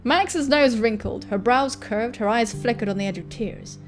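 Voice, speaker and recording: A woman with a fairly high voice reads a visual novel aloud. Her narration is expressive, and guitar music plays behind her. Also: normal speed. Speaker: gaming video host reading a visual novel.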